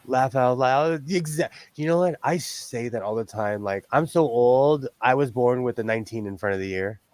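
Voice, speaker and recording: A man in his forties hosting a sewing livestream, chatting casually in a high but loud voice, rambling, laughing often and restarting his sentences. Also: moderate pace, dry recording. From lives on the West Coast of the US. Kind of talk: casual chat while sewing.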